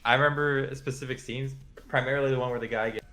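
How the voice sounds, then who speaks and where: A man's voice: mid-range voice, steady tone, quick pace, pushed and bright. A man co-hosting a live stream in casual chat, with music playing behind him.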